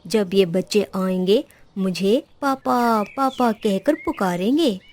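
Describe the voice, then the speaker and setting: A gentle father sparrow from a children's cartoon, played by a woman. He speaks tenderly and earnestly, protective of his family. The recording has faint noise. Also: mid-range voice, slow, voice pushed down, close to an everyday voice. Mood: tender, caring. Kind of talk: Hindi children's cartoon dialogue.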